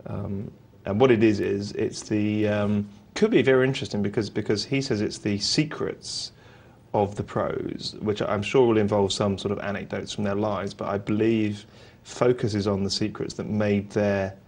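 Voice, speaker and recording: Husky, wry male poker commentator. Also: lowish voice, steady tone, moderate pace, a little mellow. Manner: wry, dry humour, self-deprecating asides. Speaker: poker show co-host. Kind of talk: live poker commentary and banter.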